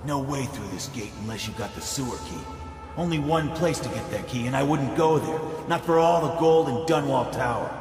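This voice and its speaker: A man playing a wary, shaken street-gang survivor in a game, terse and rough-spoken. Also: medium pitch, natural voice. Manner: terse, colloquial. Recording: echoey, reverberant.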